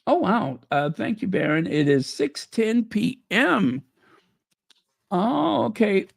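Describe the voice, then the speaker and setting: Man, livestream host, hoarse, sarcastic, dry close sound, unhurried. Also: sits high, very animated, no room sound. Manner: sarcastic, mocking asides. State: losing his voice. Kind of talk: casual livestream chat.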